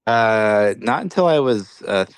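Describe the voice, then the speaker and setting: A man's voice: lowish voice, expressive, unhurried, clear, resonant tone, no echo. A man who grew up in Belgium, speaking as an author guest in a podcast interview, reflective and full of restarts.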